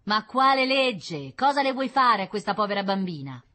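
A woman voicing a sharp-tongued, sceptical, bossy mother in a storybook, curt and scolding. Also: mid-range voice, very animated, a little fast, breathy edge, voice pushed up. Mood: defiant, protective.

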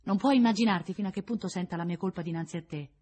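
A woman voicing an earnest, remorseful gentleman in a storybook, pleading and insistent. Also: fairly low pitch, very fast, slightly rough, pitched above the performer's own voice.

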